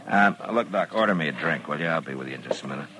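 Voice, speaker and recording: An actor playing a steady, dry, laconic frontier marshal in an old-time radio western. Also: male voice, extremely low pitch, fast talker, full character voice. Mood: watchful. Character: steady, dryly teasing male frontier marshal. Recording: faintly noisy vintage radio recording.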